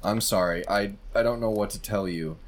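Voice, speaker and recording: A man voicing a weary former homeowner who speaks quietly and sounds resigned in a storybook reading. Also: on the low side, normal speed, natural voice. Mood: uneasy.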